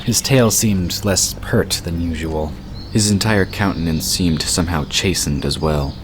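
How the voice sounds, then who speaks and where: A man narrating a fantasy story in a steady, measured storytelling voice. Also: sits low, noisy background. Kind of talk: scripted audiobook narration.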